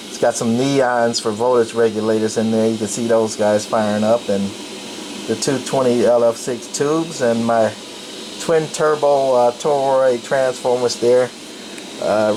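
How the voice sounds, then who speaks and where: A man giving a casual, plain-spoken hands-on equipment demonstration, narrating as he works. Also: mid-range voice. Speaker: radio hobbyist presenter. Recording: noisy room with steady equipment hum.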